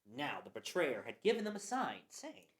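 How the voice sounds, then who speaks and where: A woman's voice: low voice, huge pitch swings, normal speed. A woman narrating scripture for a church passion play reading. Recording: roomy echo.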